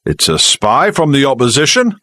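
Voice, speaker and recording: A man's voice: medium pitch, wildly swooping pitch, moderate pace, no room sound, voice pushed up, exaggerated voice. A man reading aloud, voicing a big, sneering village mechanic who mocks and shows off to a crowd. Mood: sneering, taunting. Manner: mocking, loud, taunting.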